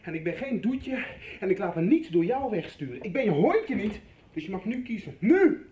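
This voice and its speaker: A man's voice: sits high, sing-song, moderate pace, dark tone. A man playing a headstrong, blunt young schoolboy boyfriend in a radio soap, in a roomy, echoing recording. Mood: angry. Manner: blunt, exasperated. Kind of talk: 1990s radio soap acting.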